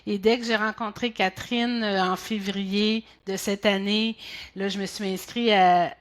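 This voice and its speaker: A woman's voice: medium pitch, fairly even intonation, measured pace. A woman with a Québécois accent hosting an interview, asking questions in a chatty way. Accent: Québécois French. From Quebec. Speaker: host.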